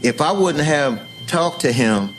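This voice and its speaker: An American man telling a story casually as an interview guest, with salty, animated wording. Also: fairly high pitch, unhurried, poor audio. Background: music.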